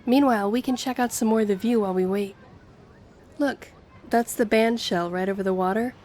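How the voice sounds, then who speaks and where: A woman's voice: on the high side, fairly quick, a little mellow, close to an everyday voice. Friendly young woman over background ambience acted by a woman. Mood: chatty, friendly. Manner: chatty, earnest, flustered hesitations when romantic. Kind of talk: intimate audio roleplay addressed to the listener. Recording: clear background ambience noise.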